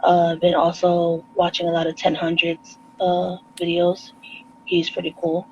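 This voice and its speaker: A woman with a New York accent chats as a guest, relaxed and quick to laugh, her voice gravelly with a lot of fry. She comes through dull, lo-fi video-call audio with a faint hiss behind her. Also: lowish voice. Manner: relaxed, laughs often, rapid asides. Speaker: guest artist. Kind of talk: casual chat.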